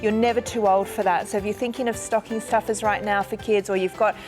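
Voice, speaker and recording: A woman's voice: mid-range voice, fairly even intonation, fast talker, over music, rough recording. A woman in her thirties with a New Zealand accent, hosting a live shopping broadcast in a chatty, bubbly way that laughs easily. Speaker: shopping channel host.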